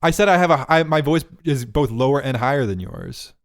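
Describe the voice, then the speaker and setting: A man's voice: medium pitch, big pitch swings, fairly quick, creaky, no room sound, studio quality. A man co-hosting a podcast in casual banter, teasing his friend, laughing often and tripping over his words. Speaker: podcast co-host.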